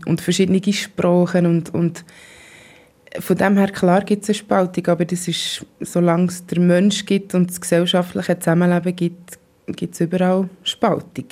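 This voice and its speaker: A woman in her thirties with a Swiss German accent, a singer answering interview questions thoughtfully, with fillers and pauses, in a basement rehearsal room. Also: fairly low pitch, a little mellow. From Switzerland. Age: 30s.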